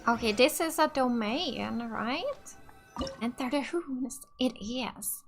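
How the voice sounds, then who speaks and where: High-pitched, slow-talking Finnish woman gaming. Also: creaky, faint music behind. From Finland. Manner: thinking aloud, tentative. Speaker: gaming streamer. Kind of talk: casual let's play commentary.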